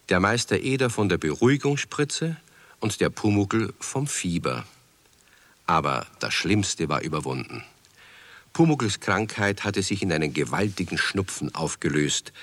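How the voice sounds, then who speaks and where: A male narrator with a deep voice tells a children's story. His intonation is very animated, with measured emphasis, and the recording is close and dry. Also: no room sound.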